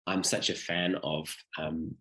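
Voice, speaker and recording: Deep-voiced uk-born guy hosting an interview, encouraging, lots of yeah and wow. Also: level tone, a little slow, a bit bright. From United Kingdom. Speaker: podcast host. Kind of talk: interview questions.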